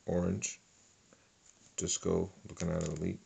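A middle-aged man calmly listing sports cards one by one at a table, with a lot of fillers. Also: low-pitched, measured pace, vocal fry, husky. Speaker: card collector. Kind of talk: casual card unboxing narration. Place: indoors at a table.

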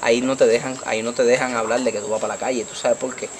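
A young man from the Dominican Republic speaks with a Puerto Rican Spanish accent, animated and gesturing as he tells his story. His voice sits at an ordinary middle pitch. A faint hum of night insects runs behind the handheld mic outdoors. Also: very fast. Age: young adult. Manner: animated, gesturing, repeats "tú me entiendes". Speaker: interviewee. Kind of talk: street interview storytelling. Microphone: handheld mic held by interviewer.

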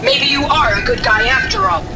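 Cool game ally, high voice, very noisy background. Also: female voice, big pitch swings, moderate pace, vocal fry, full character voice. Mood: cool, grudging. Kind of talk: video game cutscene acting. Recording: very loud noise behind.

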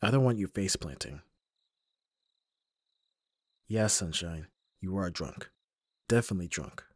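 A man playing an abrasive young bodyguard who is secretly soft, in an ASMR roleplay audio drama, with gruff, protective exasperation. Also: deep voice, expressive, measured pace, no echo, heavily acted. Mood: concerned, gentle.

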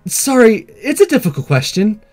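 Visual novel schoolgirl, played by a man, girlish and perky, high animated voice, breezy and forward. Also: normal speed, faint music behind. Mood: curious, forward. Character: perky, forward, sporty anime schoolgirl, teenage.

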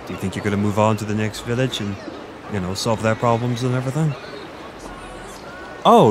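Clear outdoor village ambience sits behind the voice of a friendly, flirty Irish innkeeper acted by a man in a fantasy audio roleplay. He has a mid-pitched male voice with an Irish accent. He talks informally and confidingly, as if chatting one to one with a guest. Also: noisy background, lightly acted. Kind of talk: conversational romantic audio roleplay acting. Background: village ambience with distant chickens.

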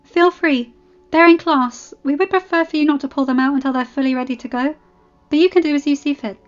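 Lady doing a nervous school receptionist lady in a story, high voice, stammery n apologetic, music behind. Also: fairly quick, dull audio, lightly acted. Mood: nervous, helpful. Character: flustered, nervous, eager female school receptionist. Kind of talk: fan fiction audiobook character voice. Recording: band-limited audio with background music.

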